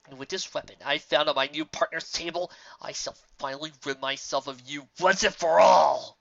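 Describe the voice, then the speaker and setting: A woman voicing a loud, vain male mad scientist villain in a cartoon story. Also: deep voice, fairly even intonation, normal speed, vocal fry. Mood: boastful. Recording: dull lo-fi recording.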